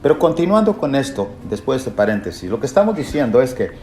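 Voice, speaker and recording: A man's voice: mid-range voice, big pitch swings, fast, warm tone. A man preaching a Bible lesson in an emphatic, didactic way, posing rhetorical questions and repeating key phrases. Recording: echoey room, faint background noise.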